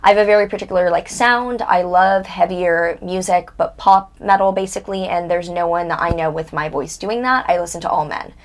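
Blunt candid young woman, lowish voice. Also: brisk, hardly any pauses. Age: 20s. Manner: blunt, candid, swears freely. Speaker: interview guest. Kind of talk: casual interview conversation.

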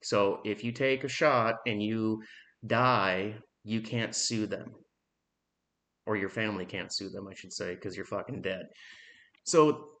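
A man in his thirties giving a blunt, frustrated opinion monologue on his own podcast, swearing freely and pausing often on "um.". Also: fairly low pitch, steady tone, a bit bright. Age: 30s. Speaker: podcast host.

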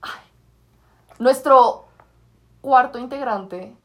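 A young woman in her twenties co-hosting a casual podcast, playful and joking with quick interjections, recorded on a phone in a dark room. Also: high voice, noisy background. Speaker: podcast co-host. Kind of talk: casual chat.

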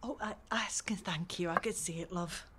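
An actress playing a frail elderly English mother in a naturalistic audio drama, gentle, hesitant and reminiscing. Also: fairly low pitch, normal speed, background noise, close to an everyday voice.

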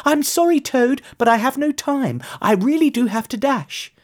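A woman's voice: high-pitched, lively intonation, normal speed, voice pushed up. A woman voicing a small, hopeful young male moth in a children's storybook, polite and eager. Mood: apologetic, hurried. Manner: polite, eager, breathless wonder.

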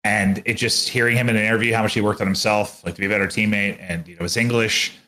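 A man's voice: lowish voice, fairly even intonation, fast talker, bright, forceful tone, rough recording. A man co-hosting a podcast, chatting casually and bluntly with plenty of swearing and colloquial phrasing. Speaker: esports commentator and podcast co-host.

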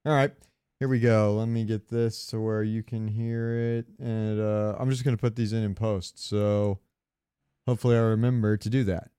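A man talking casually as a gaming podcast host, halting as he thinks aloud. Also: on the low side, fairly even intonation, warm tone, clear, resonant tone, no room sound, clean studio sound.

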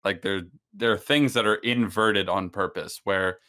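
Deep, bright-voiced man explaining. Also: fairly even intonation, unhurried. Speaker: discussion panelist. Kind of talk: casual discussion, explaining.

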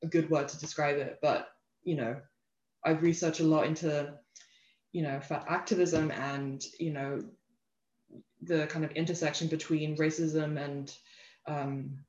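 Casting: young English-accented woman, reflective interview guest, halting conversational flow, echoey and slightly dull recording. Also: deep voice, steady tone, darkish tone. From England. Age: young adult. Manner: thoughtful, halting, frequent "you know" and "like". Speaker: interview guest, self-love advocate. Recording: roomy echo, slightly dull lo-fi sound.

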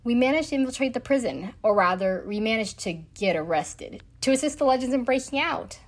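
A woman's voice: a little high, expressive, fairly quick. A woman acting an outspoken, affectionate, teasing young woman in an audio drama. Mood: eager.

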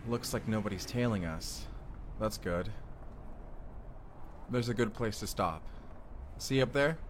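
A man's voice: lowish voice, a little slow, slight background noise, lightly acted. A man playing a smitten, slightly nervous young husband from the future in an intimate audio roleplay, talking in a casual, hesitant way. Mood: cautious, calm. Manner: casual, hesitant, sentimental, rambling. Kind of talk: first-person immersive audio roleplay, speaking intimately to the listener.

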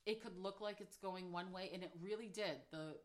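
Lively low-voiced woman podcast co-host. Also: normal speed. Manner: animated, laughs often, trash-talking. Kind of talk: casual chat.

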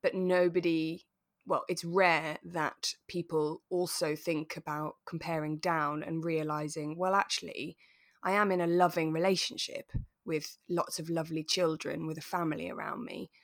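A British woman co-hosting a podcast in a relaxed, reflective chat, explaining her thoughts as she goes. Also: low-pitched, moderate pace. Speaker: podcast co-host. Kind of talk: relaxed chat reviewing a radio drama.

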